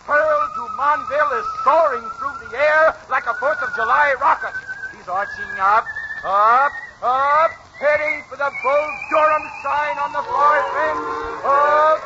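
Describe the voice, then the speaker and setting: A man playing an excitable baseball announcer on a 1940s radio drama, calling the play with dramatic, drawn-out calls. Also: very high-pitched, lo-fi sound. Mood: excited, awed. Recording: noisy, band-limited 1940s radio recording.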